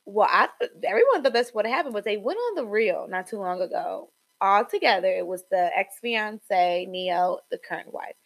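A young woman co-hosting a casual gossip podcast, animated, with sudden high jumps in pitch and trailing ums. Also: normal speed, gravelly, few pauses. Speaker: podcast co-host.

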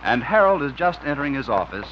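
A man's voice: mid-range voice, very animated, quick pace. A man with an American accent doing polished, scripted announcing and narration as a 1950s network radio announcer. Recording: dull, slightly noisy 1950s radio recording.

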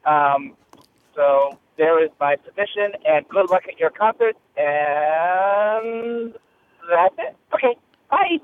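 A man's voice: sits high, lively intonation, takes it slowly. A man calling in by phone from his car, leaving a chatty, enthusiastic fan message. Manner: gushing, enthusiastic. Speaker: caller leaving a listener voicemail. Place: in a car, driving. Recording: narrow phone line, faint noise.

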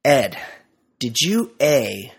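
A man's voice: medium pitch, sing-song, extremely slow, gravelly, breathy edge. A male podcast host with a cold, talking in a nasal, congested voice and rambling through casual, mock-dramatic banter.

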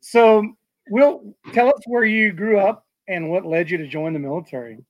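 A man's voice: high-pitched, normal speed, dry recording, highs cut off. A man hosting an interview, talking casually with many fillers and restarts. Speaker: podcast host.

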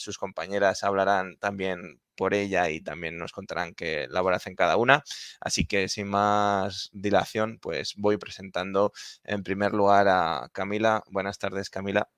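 Host, male, deep dry voice, hesitant casual delivery. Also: fairly even intonation, normal speed, fairly bright tone, clean tone, no room sound. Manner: hesitant, many fillers and repeated words. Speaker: host of a live stream. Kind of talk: casual live-stream presentation.

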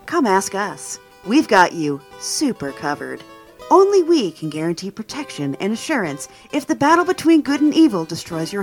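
A woman's voice: medium pitch, lightly acted. A woman playing an upbeat announcer in a parody insurance commercial, with a salesy, emphatic delivery.

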